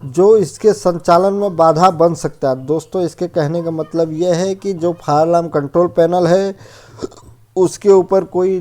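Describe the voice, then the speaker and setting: A man's voice: sits high, warm tone. A man giving an informal technical lesson as an instructor, explaining checklist points and often rephrasing and defining terms. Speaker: fire safety instructor.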